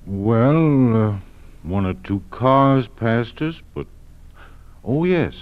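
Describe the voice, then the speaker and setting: An actor playing a kidnap victim, halting and unsure as he speaks, in a 1940s radio drama. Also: medium pitch, animated. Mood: searching memory. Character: hesitant male kidnap victim recalling details. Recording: tinny, band-limited old radio recording.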